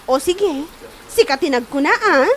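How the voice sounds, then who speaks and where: A woman's voice: sits high, lively intonation, measured pace, fairly bright tone. A woman acting a good-natured, proud village woman in an Ilocano comedy drama, with an Ilocano accent. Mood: pleased, chatty.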